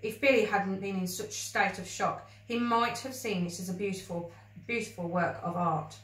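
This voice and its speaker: A woman reading a children's story aloud with expressive narration. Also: mid-range voice, moderate pace. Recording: roomy, echoey, distant microphone.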